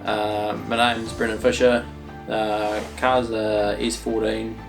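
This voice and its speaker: A man's voice: lowish voice, flat delivery, gravelly. A man, hesitantly recalling his path into motorsport in a documentary interview. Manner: halting, many ums, plain speech. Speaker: drift driver interviewee. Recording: distant mic with music bed. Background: guitar music.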